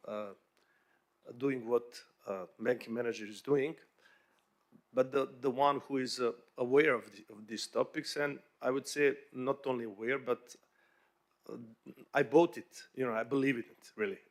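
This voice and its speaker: A man from Bosnia speaks English as an at a conference panel. He talks in a halting, conversational way, with frequent fillers and restarts. His voice sits at a middle pitch. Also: steady tone. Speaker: bank executive panelist.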